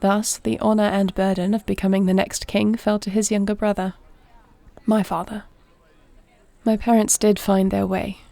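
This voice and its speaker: A woman playing a gentle, dignified warrior princess in an intimate fantasy roleplay, speaking softly with formal, measured, tender diction. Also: mid-range voice, gravelly. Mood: warm, sincere.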